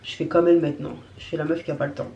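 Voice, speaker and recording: A woman's voice: low-pitched, little pitch movement, rapid-fire, dark tone, dull audio. A young woman with a cold chatting casually to her vlog audience, candid and slangy, grumbling and poking fun at herself. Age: young adult. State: has a cold. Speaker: vlogger.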